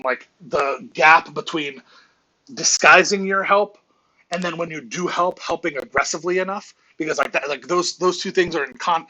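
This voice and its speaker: A man's voice: a little high, pushed and bright, poor audio. A man on a live stream reviewing his team's game footage, talking in a rambling, analytical way with many restarts, and he says he is losing his voice. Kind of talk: casual live-stream film breakdown.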